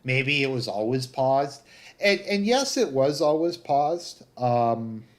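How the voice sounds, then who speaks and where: A man's voice: medium pitch, lively intonation. Rambling Southern Ontario man, chatting casually. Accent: Southern Ontario Canadian. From Southern Ontario, Canada. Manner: rambling, halting, thinking aloud. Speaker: livestream host. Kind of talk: casual chat, live commentary.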